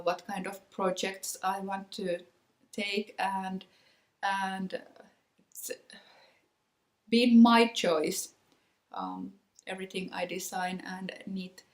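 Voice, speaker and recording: A young adult woman with a Finnish accent hosts her knitting video podcast in a relaxed chat to camera, often pausing with ums and restarting her sentences. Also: medium pitch. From Finland. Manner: hesitant, many ums and restarts. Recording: echoey room.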